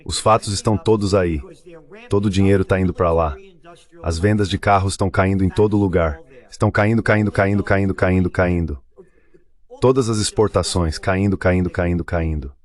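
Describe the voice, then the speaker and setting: A man's voice: low-pitched, steady tone, normal speed. A man with a Brazilian accent delivers dubbed political commentary, blunt and emphatic, often repeating himself. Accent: Brazilian Portuguese. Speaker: guest commentator.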